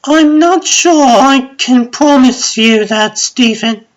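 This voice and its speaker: A clever young woman of science , acted for a comic dub. She has a higher voice and speaks slowly, with earnest, formal precision and full composure. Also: muffled recording. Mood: composed, confident.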